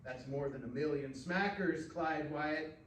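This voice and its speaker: Storybook role, done by a man: prickly American country banker, cautious and folksy, slow delivery, recorded from some distance. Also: a little high, a bit bright. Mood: grudging interest. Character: cautious, prickly small-town Western banker cousin. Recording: roomy, distant stage recording, faint noise.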